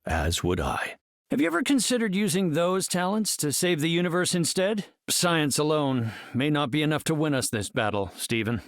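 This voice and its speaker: Brainy, articulate heroic scientist, widely swooping pitch. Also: male voice, big pitch swings, no echo, studio quality. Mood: calm, reflective. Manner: articulate, formal, measured. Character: earnest, brainy heroic scientist leader, composed and courteous. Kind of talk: video game hero voice lines.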